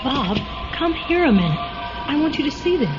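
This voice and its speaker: A bitter, nagging wife from an old radio play. Her high voice swings animatedly through indignant, theatrical complaints, with loud music behind a dull recording. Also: big pitch swings, normal speed. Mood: insistent. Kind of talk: vintage-style radio drama acting.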